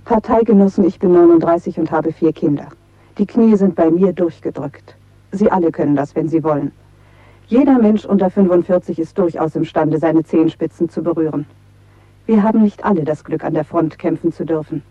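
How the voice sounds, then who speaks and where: A woman's voice: fairly low pitch, a little fast, dry recording, close to an everyday voice. A woman playing a commanding exercise instructor of a totalitarian state in a German radio play, drilling her class. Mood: stern, scolding. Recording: dull radio recording with loud brass music.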